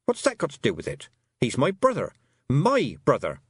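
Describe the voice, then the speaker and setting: A man's voice: medium pitch, huge pitch swings, moderate pace, slightly rough, no room sound, studio quality, pitched above the performer's own voice, heavily acted. A man voicing a stubborn young witch girl who speaks bluntly and defiantly.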